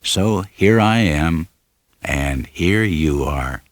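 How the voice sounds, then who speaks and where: A man plays an earnest young store owner in an audiobook novel, in love but burdened by debts. He reasons things out in plain words with a low voice, recorded dry. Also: lively intonation, very slow, no room sound, exaggerated voice. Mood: easygoing.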